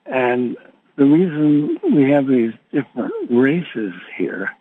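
A man hosting a spiritual conference call, rambling informally and haltingly as he searches for his words. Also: medium pitch, lively intonation, breathy edge. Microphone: telephone conference call. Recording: tinny phone line with background hiss.

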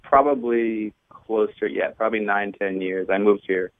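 A man in his thirties from New Jersey, a guest telling his life story in a relaxed, hesitant way with fillers. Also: on the low side, normal speed, dry recording. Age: 30s. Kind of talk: casual interview chat. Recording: tinny phone-like line, dry.

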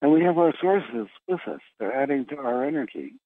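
A man from Philadelphia with an American accent, hosting a casual, rambling conference call over the phone, haltingly repeating his phrases. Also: mid-range voice, sing-song, dry recording. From Philadelphia, USA. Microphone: phone line on conference call. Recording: dull, lo-fi phone-call radio sound.

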